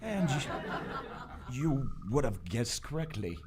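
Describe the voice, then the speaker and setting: An actor playing an exasperated, timid society clerk with a formal, sarcastic manner in a live radio adventure. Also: male voice, medium pitch, very animated, takes it slowly, darkish tone. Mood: dry, aggrieved. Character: exasperated, timid male society clerk fleeing through jungle. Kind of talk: old-time radio adventure acting, live theater.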